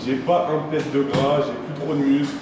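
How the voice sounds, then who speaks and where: A man's voice: fairly high pitch, little pitch movement, normal speed, background noise. A man from Lyon with a French accent from France, chatting casually and enthusiastically to camera in slangy, informal vlog style. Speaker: vlogger.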